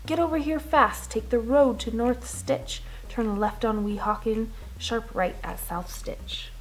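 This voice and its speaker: A woman's voice: on the high side, a little slow, natural voice, low-quality recording. A woman voicing a greedy, boastful businessman in a storybook, with a brash, folksy, dismissive manner. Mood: excited. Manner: folksy, brash, self-justifying.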